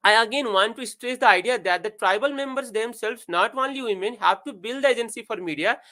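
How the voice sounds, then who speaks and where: A man's voice: sits high, fairly bright tone. A man giving an academic presentation, speaking hesitantly with many filler words.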